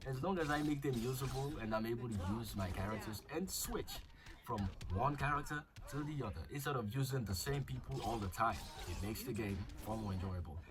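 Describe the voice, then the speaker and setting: A man's voice: medium pitch, brisk, over music. Chatty man with a flu. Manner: chatty, crude jokes, thinking aloud. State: slightly sick with flu. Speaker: mobile game YouTuber. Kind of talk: casual gameplay commentary.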